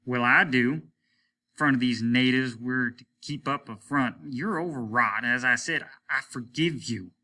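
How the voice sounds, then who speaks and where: A man reading a story, voicing a domineering, scornful spaceship captain in a loud, commanding, condescending manner. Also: mid-range voice, a little slow. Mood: stern, condescending. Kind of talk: dramatic audiobook reading.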